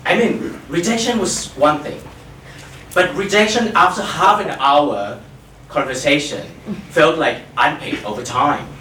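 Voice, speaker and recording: A man's voice: on the high side, a little slow, sharp tone, echoey room, far from the mic, a little hiss or hum, low-quality recording. Wry man telling a stage story. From Thailand. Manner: wry, self-mocking humor.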